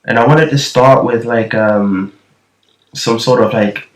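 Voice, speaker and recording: A man's voice: medium pitch, a little slow. Thin-voiced man, echoey and distant. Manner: rambling, with frequent restarts. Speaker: nasheed production tutor. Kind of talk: casual screen-recorded tutorial. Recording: echoey room, far from the mic.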